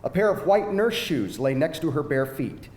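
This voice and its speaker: Expressive male narrator in an echoey hall. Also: a little high, normal speed. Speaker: stage-direction narrator. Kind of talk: scripted narration at a live screenplay reading. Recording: echoey hall, faint background noise.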